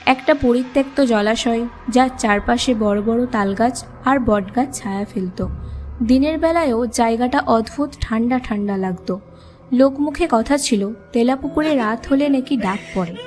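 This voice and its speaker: A woman's voice: fairly high pitch, darkish tone. A woman narrator reading a scripted ghost story, with music playing behind her.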